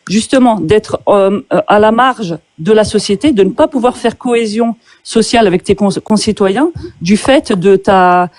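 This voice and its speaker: Woman interviewer, pointed but halting, warm low-key timbre. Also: dry recording. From France. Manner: hesitant, with many fillers and restarts. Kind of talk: pointed questioning in a street debate.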